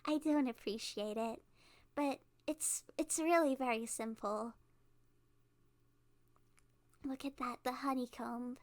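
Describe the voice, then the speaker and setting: Woman acting as kidnapper girl, very high voice, cute, giddy, awkward, rambling, playfully menacing, casual ASMR roleplay. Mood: smug, casual. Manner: rambling, halting, giggly, playful.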